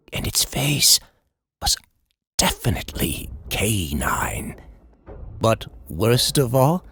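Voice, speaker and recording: A man's voice: sits low, gravelly, a bit bright. A man reading a scripted first-person scary story as a storyteller, in a dramatic, suspenseful manner.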